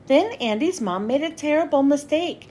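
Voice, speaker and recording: A woman narrating a children's storybook as a read-aloud host, with expressive, clearly phrased delivery. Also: high voice, normal speed, slight background noise.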